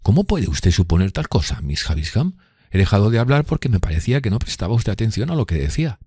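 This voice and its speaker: A man voicing an earnest, courteous young gentleman in an audiobook, with a polite and sincere manner. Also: lowish voice, sing-song, fast, husky, dry recording, clean studio sound, voice pushed up. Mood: earnest, reassuring. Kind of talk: audiobook character reading.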